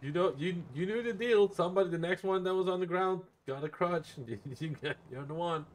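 A man's voice: high-pitched, brisk. Man chatting casually while streaming a game. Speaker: live streamer playing a medic in online roleplay. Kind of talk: casual in-game roleplay chat.

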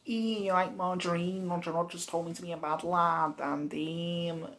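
A man's voice: flat delivery, moderate pace, fairly bright tone, natural voice. A young man playing a naive, too-nice lad in a comedy sketch, earnest and silly. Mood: silly, eager. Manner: high-pitched, earnest, silly. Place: small living room.

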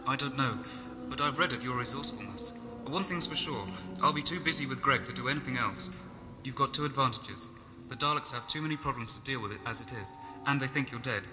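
A man's voice: medium pitch, brisk, close to an everyday voice. A man playing a grave, ruthless scientist in a science-fiction audio drama, speaking earnestly and emphatically. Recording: amateur audio drama, music underneath.

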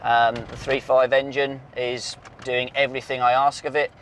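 A man's voice: medium pitch, normal speed, slight background noise. A man, talking casually through a car's engine work as a workshop mechanic, with lots of "um"s and restarts. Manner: relaxed, many "um"s and restarts, friendly banter. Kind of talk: casual technical walkthrough. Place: outdoor workshop yard, then inside a moving car. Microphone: lapel mic.

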